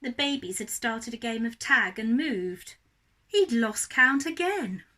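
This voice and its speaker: A woman reading a story aloud as a primary teacher would, expressively and deliberately for young children. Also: on the high side, animated, sharp tone.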